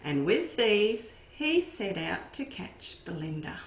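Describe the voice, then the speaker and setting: A woman reads a farm storybook aloud to young children, taking her time over each line. She reads expressively and keeps turning to her listeners with little asides. Her voice sits at a middling pitch and rings around an echoey room. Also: very animated, slow, slight background noise. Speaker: children's educator.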